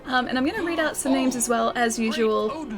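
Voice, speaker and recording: A young woman streaming a game and chatting with her viewers, her voice a little croaky and scratchy from a sore throat, laughing often and calling people dude and man. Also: sits high, fairly quick, over music. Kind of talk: casual chat with live stream viewers.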